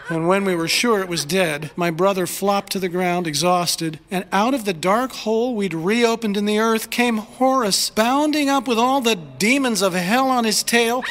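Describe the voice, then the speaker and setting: Actor as wistful narrator, audio drama, medium pitch, measured lyrical phrasing, long reverb, music underneath. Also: fairly bright tone, a little hiss or hum, close to an everyday voice. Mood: excited wonder. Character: wistful storyteller recalling childhood with brother.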